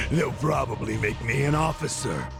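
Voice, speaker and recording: An actor playing a sadistic, gloating gangster villain in an English video game dub, with a gravelly voice and a sneering delivery. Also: male voice, medium pitch.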